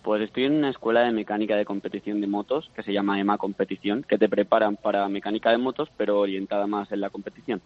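A man's voice: fairly low pitch, steady tone, fast talker, few pauses. A man in his twenties from Madrid with a plain accent from Spain, giving a casual, modest interview over the phone. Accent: Spanish from Spain, no marked regional accent. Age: 20s. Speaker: interview guest. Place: at home. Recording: band-limited phone call on radio.

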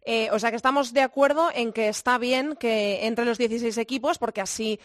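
A woman's voice: fairly high pitch, fairly even intonation, brisk. Female radio host, Spain accent, informal sports chat. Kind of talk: casual sports talk hosting.

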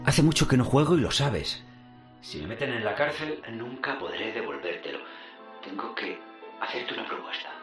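Actor as an anxious working man, Spain accent, urgent persuasion, expressive pitch, prominent music behind. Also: normal speed, natural voice. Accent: Spanish from Spain. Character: indebted factory worker father, anxious and pleading. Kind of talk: futuristic radio drama acting.

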